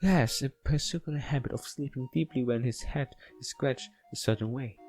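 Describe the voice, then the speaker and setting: A man's voice: mid-range voice, fairly quick, darkish tone, soft background music, natural voice. A man voicing a scheming, playfully formal schoolgirl in a visual novel read-aloud, with theatrical phrasing.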